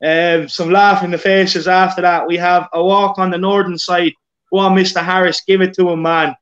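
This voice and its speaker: A high-pitched Irish man hosts a casual live stream about football, reading viewer comments aloud. He fills gaps with "um" and stumbles over repeated words. The sound is dull and lo-fi. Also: little pitch movement, moderate pace, a bit bright. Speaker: host.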